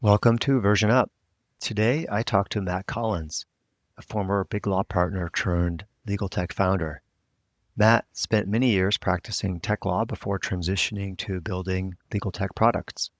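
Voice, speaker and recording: A man hosting a podcast, reading a scripted intro and then asking questions in a relaxed way. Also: sits low, moderate pace, no room sound. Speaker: podcast host, lawyer. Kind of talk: podcast interview.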